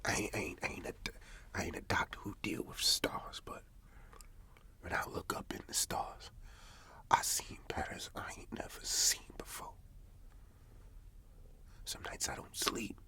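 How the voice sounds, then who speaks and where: Casting: male actor for troubled farmer in an ASMR roleplay, hushed breathy whisper with crisp bright edge, folksy storytelling. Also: on the low side, wildly swooping pitch, full character voice. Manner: slow folksy storytelling, repeating phrases. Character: rural farmer man, spooked, troubled, God-fearing.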